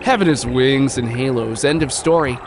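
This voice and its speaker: A man's voice: mid-range voice, moderate pace, lightly acted. A man playing a goofy, stubborn wisecracking superhero in a comic-book drama, clownish and exclamatory. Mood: dismissive. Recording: clearly noisy background.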